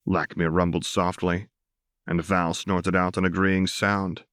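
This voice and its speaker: A man with a deep-pitched voice narrates a work of fiction. He reads scripted prose as an audiobook narrator, in a dry space with no echo. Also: little pitch movement, unhurried, a bit bright, clear, resonant tone.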